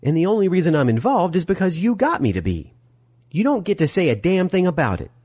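A man voicing a bold, sharp-tongued young woman with a breezy, teasing manner in an audiobook story. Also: on the high side, sing-song, moderate pace, no echo, studio quality, pitched above the performer's own voice. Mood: snappish.

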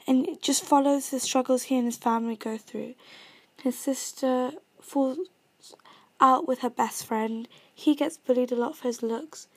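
Breathy high-voiced British-accented young woman. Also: a little mellow. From Kenya. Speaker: vlogger reviewing movies and music. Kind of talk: scripted review read aloud.

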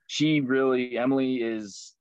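A man's voice: medium pitch, steady tone, a little slow, clear, resonant tone, dry recording. A man guest talking casually about the fishing lures he makes, easygoing, with many ums and fillers. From Liverpool, New York. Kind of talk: casual interview chat.

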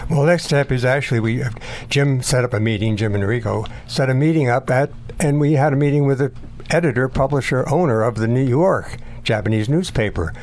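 A man in his nineties, a war veteran, recalling memories in an interview in a halting, reflective way. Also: medium pitch, a little mellow. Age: 90s.

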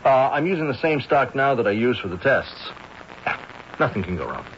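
A man's voice: mid-range voice, animated, moderate pace, lightly acted. Actor as a reassuring cameraman, dull old radio sound. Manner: easygoing, reassuring. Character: confident male cameraman. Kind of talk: 1970s radio drama acting. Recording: dull old radio broadcast with faint hiss.

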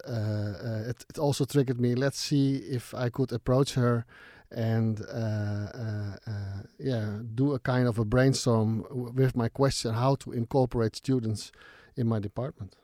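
A man in his forties speaking as an interview guest, halting with many uhs and restarts. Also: mid-range voice, mellow, dry recording, clean studio sound. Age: 40s. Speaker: guest, university department director. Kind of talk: casual interview answers. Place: small studio.

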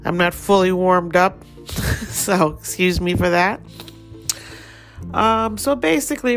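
A woman with a Thai accent giving a relaxed, rambling daily astrology forecast as host. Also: medium pitch.

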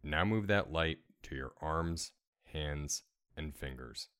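A man leading a guided relaxation meditation in a calm, soothing, measured way, giving gentle step-by-step instructions. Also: extremely low pitch, clean tone. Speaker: meditation guide, podcast host.

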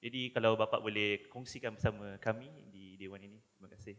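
A Malaysian-accented man in the audience asks his question politely and formally, talking quickly with a few fillers. His mid-pitched voice rings with a long hall reverb. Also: steady tone, fairly bright tone. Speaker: audience member asking a question.

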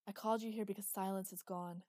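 A woman as a gentle grieving young woman. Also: medium pitch, steady tone, quick pace, dry recording, studio quality, lightly acted. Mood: tender, grave.

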